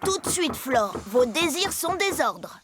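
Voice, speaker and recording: A woman's voice: sits high, sing-song, a little slow, a bit bright, slight background noise, heavily acted. A woman voicing an obliging, friendly young tractor in a French-dubbed children's cartoon. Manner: eager to help.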